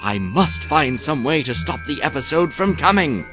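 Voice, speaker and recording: A man's voice: fairly high pitch, very animated, moderate pace, music in the background. A man playing a scheming, bitter old storybook villain with a snarling, theatrical, gloating delivery. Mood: snarling disdain. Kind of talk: parody of rhymed storybook villain dialogue.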